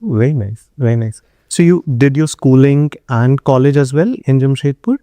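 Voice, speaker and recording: A man's voice: mid-range voice, lively intonation, moderate pace, mellow, no echo. A man hosting a podcast interview in a conversational style.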